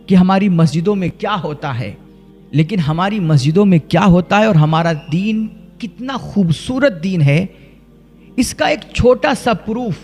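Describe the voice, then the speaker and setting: A man from India preaches in an earnest, emphatic way, leaning on rhetorical questions and deliberate repetition. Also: high voice. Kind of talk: rhetorical religious sermon.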